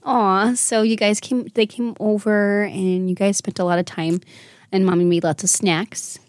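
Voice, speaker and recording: A woman's voice: medium pitch, level tone, unhurried, darkish tone, no room sound, clean studio sound. A woman in her thirties hosting a casual chat with her daughter, affectionate and motherly, laughing often. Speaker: podcast host and mother.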